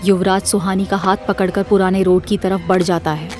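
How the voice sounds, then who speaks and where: A woman narrating a scripted horror story in a measured, dramatic storytelling manner. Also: mid-range voice, flat delivery, a little mellow, soft background music.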